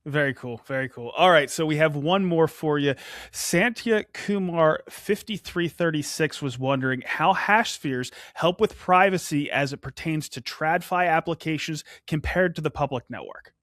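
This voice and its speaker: A man with a fairly high voice hosts a casual show. He reads out viewer questions in an upbeat, chatty way. The recording is close and dry. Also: moderate pace, a bit bright, no room sound. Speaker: host reading viewer questions. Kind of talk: casual podcast hosting.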